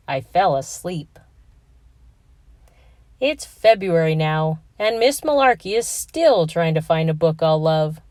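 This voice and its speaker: A woman reading a children's picture book aloud with expressive storytelling. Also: lowish voice, no echo, studio quality.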